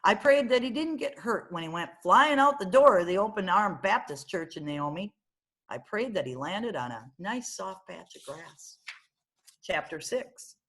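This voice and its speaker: A woman reading a children's novel aloud as storyteller, in an expressive narrating style. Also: medium pitch, unhurried.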